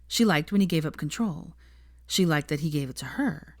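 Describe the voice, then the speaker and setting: A woman's voice: low-pitched, animated, normal speed, no room sound, clean studio sound. A woman narrating a story from a script in an audiobook style. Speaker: audiobook-style fan fiction reader.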